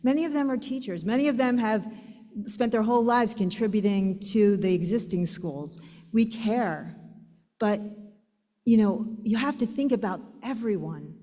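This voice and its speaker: A woman's voice: medium pitch, normal speed, warm tone. A woman earnestly pleading with a board during public comment, with hesitant restarts. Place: large meeting hall. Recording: long hall reverb.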